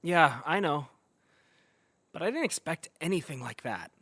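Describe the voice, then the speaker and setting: A man's voice: on the high side, very animated, unhurried, bright, forceful tone, slightly rough, dry recording, clean studio sound, exaggerated voice. An actor playing a reluctant, easily spooked young man who swears, in naturalistic audio drama dialogue. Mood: shaken, resigned.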